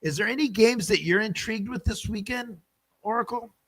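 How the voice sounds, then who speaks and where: A man hosts a sports podcast with a bright, sharp voice set a little high. He rambles and cracks jokes. The sound is close and dry. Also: fairly quick, no echo. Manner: jokey, loose, a bit rambling. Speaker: podcast host. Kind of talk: casual podcast sign-off chat.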